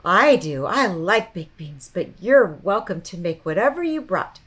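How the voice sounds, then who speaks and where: A woman voicing a kind, patient bird who leads friends in a children's storybook, speaking calmly. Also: fairly low pitch, a little slow, exaggerated voice. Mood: patient, firm. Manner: calm, kindly, patient.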